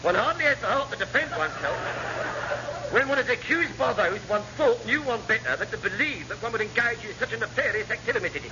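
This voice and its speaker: A man's voice: extremely high pitch, expressive, brisk. An English man playing a crafty, cheeky chief petty officer, mock-humble and long-winded, in a classic radio sitcom. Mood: mock-wounded. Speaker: actor in radio comedy. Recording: muffled, noisy old radio recording.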